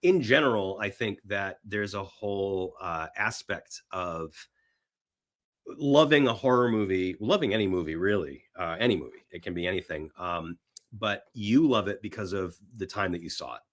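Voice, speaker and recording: A middle-aged man talking casually as a podcast guest, animated in his storytelling and repeating phrases for emphasis. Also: low voice, moderate pace. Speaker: podcast guest, horror film screenwriter and actor. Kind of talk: casual film chat.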